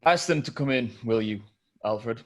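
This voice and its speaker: A suave millionaire gentleman, read by a man. He is courteous and measured, with a medium-pitched voice in a script table read. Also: expressive, close to an everyday voice.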